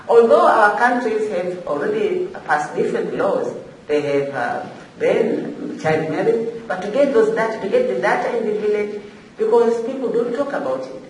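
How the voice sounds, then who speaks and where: A woman with a Tanzanian accent giving semi-formal panel remarks off the cuff, halting, repetitive and emphatic. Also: medium pitch, darkish tone. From Zanzibar, Tanzania. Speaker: forum panelist, African regional official. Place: echoey indoor forum room. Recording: echoey room, distant mic, faint noise.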